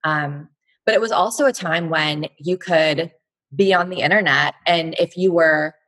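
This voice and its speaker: A woman's voice: sits low, unhurried, fairly bright tone. A woman in her thirties from Texas, a guest in an interview, telling her story reflectively with frequent fillers. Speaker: guest, blogger and entrepreneur. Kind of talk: conversational interview.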